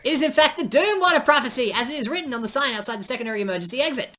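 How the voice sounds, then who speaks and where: A woman's voice: high-pitched, lively intonation, racing, fairly bright tone, slight background noise, pitched above the performer's own voice. A woman voicing a doom-prophesying ant with ominous, alarmist, dramatic declaiming. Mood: urgent, dramatic.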